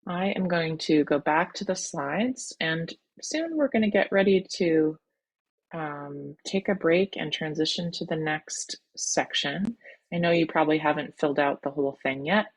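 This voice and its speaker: A woman's voice: deep voice, measured pace, husky, low-quality recording. A woman college admissions counselor teaching an online workshop over a video call, encouraging and full of ums and restarts.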